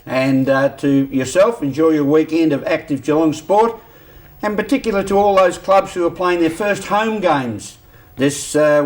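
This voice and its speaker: A man's voice: a little high, distant mic. A man with an Australian accent anchoring a news bulletin with chatty handovers.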